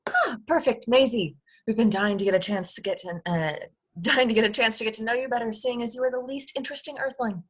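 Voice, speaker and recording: A woman's voice: a little high, fast talker, natural voice. A woman playing a blunt, easily bored alien overseer in a comedy table read. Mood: dismissive. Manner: blunt, dismissive.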